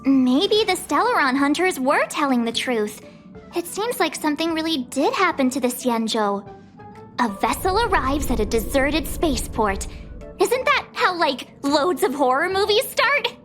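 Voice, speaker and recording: Very high-voiced, bubbly game girl. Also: unhurried, faint music behind, exaggerated voice. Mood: curious, uneasy. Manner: chatty, informal, excitable. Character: bubbly, impulsive teenage girl space explorer. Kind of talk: animated game voice acting.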